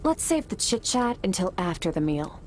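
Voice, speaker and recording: A woman voicing a reserved swordswoman mentor from another world in a video game, calm, terse and matter-of-fact. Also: on the low side, moderate pace, slight background noise. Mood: calm, composed. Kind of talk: video game cutscene voice acting. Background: faint game music.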